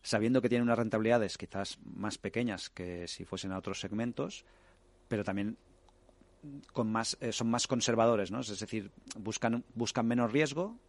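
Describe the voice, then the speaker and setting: Low-voiced Spanish man on a panel. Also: moderate pace, dry recording. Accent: Spain. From Spain, Barcelona area. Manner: frequent checking tags and repeated words. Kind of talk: spontaneous panel talk.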